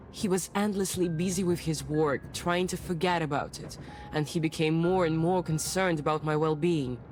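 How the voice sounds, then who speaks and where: A woman's voice: deep voice, a little mellow, slight background noise, close to an everyday voice. A woman voicing a well-bred, self-assured young lady in a visual novel, speaking in a composed, articulate, formal way. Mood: reflective, wistful. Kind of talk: visual novel voice acting, narrative dialogue. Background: music.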